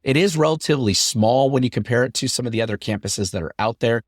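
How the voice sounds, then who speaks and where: A middle-aged man hosting his podcast in an upbeat, chatty way, his voice deepened by a lingering cough after an illness. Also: fast, dry recording, clean studio sound. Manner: upbeat, chatty, repetitive phrasing. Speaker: podcast host. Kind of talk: solo podcast talk.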